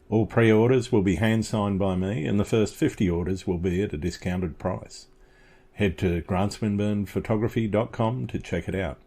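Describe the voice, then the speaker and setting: A man's voice: low-pitched, a little mellow. An Australian man hosting a photography podcast, reading his intro and asking questions with some hesitant fillers. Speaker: podcast host. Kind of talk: scripted intro then interview questions.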